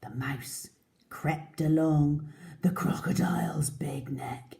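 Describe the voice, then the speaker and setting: Mellow, slow, expressive woman storyteller. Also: sits low. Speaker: children's storyteller. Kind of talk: expressive picture-book reading for young children.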